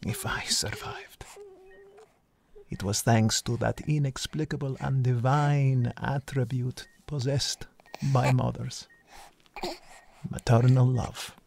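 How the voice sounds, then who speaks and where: Slow, creaky, brooding man narrator acted out. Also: mid-range voice, steady tone, darkish tone. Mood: brooding, bitter. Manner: deliberate, solemn, poetic phrasing with weighty pauses. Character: brooding, self-loathing man narrating his own life. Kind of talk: dramatic first-person voice-over.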